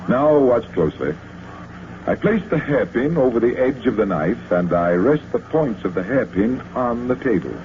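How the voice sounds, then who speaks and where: A man playing a confident, calm and politely wry magician adventurer in an old radio drama. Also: medium pitch, moderate pace, natural voice. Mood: genial explaining. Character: unflappable, confident male stage magician and adventurer. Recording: muffled, hissy old radio recording.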